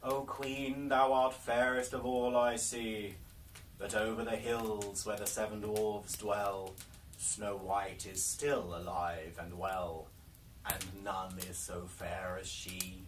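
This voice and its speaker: A stately enchanted mirror from a storybook, voiced by a man, solemn and measured. It pronounces its verdicts gravely, with clear noise on a distant recording. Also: fairly low pitch, little pitch movement, voice pushed down. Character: solemn magic looking glass, truthful and stately.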